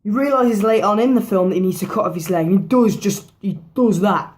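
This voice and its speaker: A woman with a hoarse, worn-out voice, giving a casual movie review in a rambling way, swearing freely and repeating herself. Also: medium pitch, fairly quick, darkish tone. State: hoarse, losing her voice.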